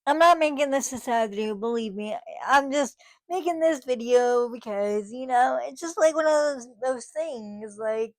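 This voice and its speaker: A woman's voice: high voice, moderate pace. A woman in her thirties talking casually to her camera, rambling with lots of fillers and restarts. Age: 30s. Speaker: vlogger.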